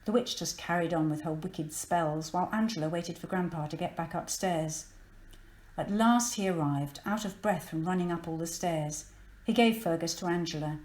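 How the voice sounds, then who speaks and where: A woman's voice: lowish voice, moderate pace, darkish tone, echoey room. A woman narrating a children's story from a script, expressively and with dramatic emphasis. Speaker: storybook reader.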